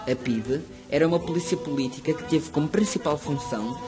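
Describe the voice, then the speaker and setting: A man reading a school history presentation aloud, steady and prepared. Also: fairly high pitch, a little fast. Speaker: student narrator. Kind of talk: school project narration read aloud. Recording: music playing under the voice. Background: music.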